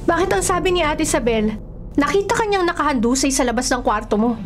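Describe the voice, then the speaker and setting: A woman playing a bossy, protective woman of the household in a radio drama, brisk and scolding. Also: high-pitched, lively intonation, a little hiss or hum. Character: bossy, protective women of a household, nanny and elder sister.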